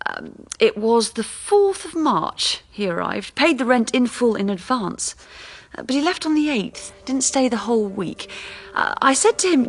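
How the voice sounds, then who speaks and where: A woman playing a chatty, rambling, slightly scatterbrained landlady in a radio drama. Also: a little high. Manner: chatty, rambling, self-correcting.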